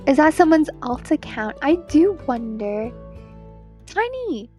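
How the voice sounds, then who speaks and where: A woman streamer with a very high voice and a mostly American accent. She talks slowly and casually, with a playful, teasing manner. Faint music plays behind her. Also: expressive, warm tone. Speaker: streamer, digital artist. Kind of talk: casual livestream chat while drawing.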